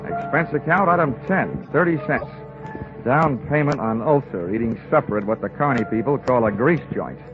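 An American man playing a wry, hard-boiled insurance investigator in a 1950s radio drama, with a clipped, deadpan, wisecracking delivery. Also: medium pitch, normal speed, narrow, tinny audio, lightly acted. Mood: wry, narrating. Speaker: lead actor and narrator. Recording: band-limited vintage radio recording, music behind.

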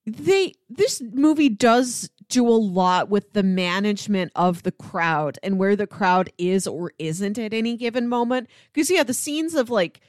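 A woman's voice: medium pitch, animated, unhurried, few pauses, a little mellow, no room sound, studio quality. A woman co-hosting a casual film discussion, making emphatic points and building them through repetition. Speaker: podcast co-host.

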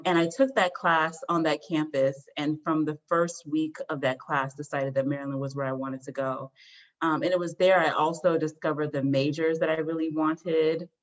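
Woman, low voice, measured explainer, muffled lo-fi recording. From Washington DC area, US. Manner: measured, explanatory, some fillers. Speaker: guest expert on student mental health. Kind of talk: interview answers.

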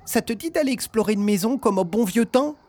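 A man voicing an eager, playful little gust of wind in a children's audio story, excitable in manner. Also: sits high, fairly quick, voice pushed up. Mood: eager, excited.

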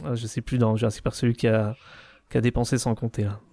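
A man's voice: medium pitch, flat delivery, quick pace, warm tone, no echo. A man chatting casually and enthusiastically as a podcast guest, often repeating his words as he goes. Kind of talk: casual enthusiastic chat about cartoons.

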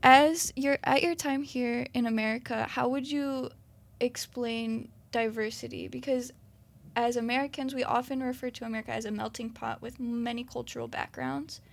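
Young woman with a gravelly edge. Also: on the high side, fairly even intonation, moderate pace. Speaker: interviewer. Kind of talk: interview questions.

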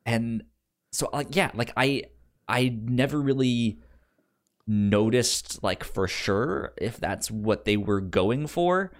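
A young adult man co-hosting a podcast, talking film casually in a tentative, halting way, often repeating syllables. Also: mid-range voice, animated. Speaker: podcast co-host.

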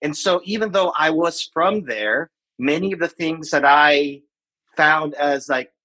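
Guest, man in his 40s from the Philippines, bright and forceful tone, reflective. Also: on the high side, unhurried. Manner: reflective, with many fillers and restarts. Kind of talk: casual interview talk.

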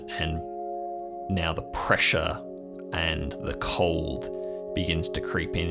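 A man's voice: low voice, gravelly. A man narrating an improvised audio drama as game master, describing scenes atmospherically in the second person.

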